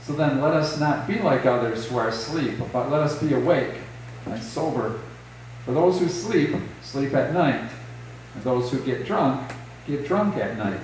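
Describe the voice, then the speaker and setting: A middle-aged man, a pastor, leading liturgy and reading scripture in a measured, solemn way. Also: medium pitch, a little mellow, slight background noise. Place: small church sanctuary. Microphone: distant camera, later lectern mic. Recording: echoey church from a distance.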